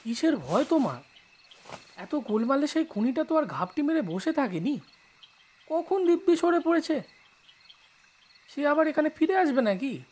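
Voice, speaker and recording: A man's voice: very high-pitched, very animated, normal speed, pauses often, a little mellow. An actor playing a fearless, cocky teenage boy, brash and nonchalant, in an audio drama. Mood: nonchalant, dismissive.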